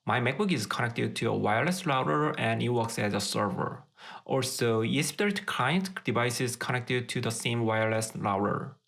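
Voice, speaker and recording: A man's voice: mid-range voice, expressive, normal speed, gravelly, a bit bright. A man narrating a technical tutorial in a methodical, step-by-step way. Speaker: tech tutorial presenter.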